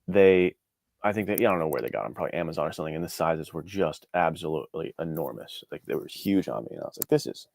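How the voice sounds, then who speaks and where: A man's voice: very deep voice, animated, fast, creaky, darkish tone, dry recording. A man chatting casually as a podcast guest, informal and slangy, a little self-deprecating. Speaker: guest, indie adventure author. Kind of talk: casual chat.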